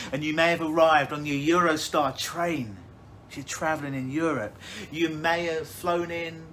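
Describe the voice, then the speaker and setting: A man leading a guided hypnosis visualization, speaking in slow, soothing, suggestive phrases. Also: a little high, sharp tone, slight background noise.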